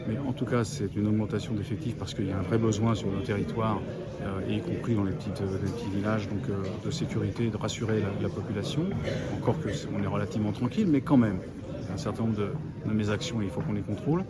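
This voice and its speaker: Low-voiced man amid loud crowd chatter. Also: steady tone, fast. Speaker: local elected official being interviewed. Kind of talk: spoken interview answers, explanatory. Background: busy crowd chatter.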